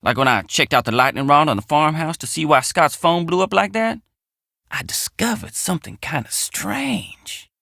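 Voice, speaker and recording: An actor playing a folksy, earnest, excitable young country handyman in a video game, with an American Southern accent and a colloquial drawl. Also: a little high, normal speed, gravelly, dry recording, studio quality, exaggerated voice. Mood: suspicious, pondering. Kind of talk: video game character dialogue.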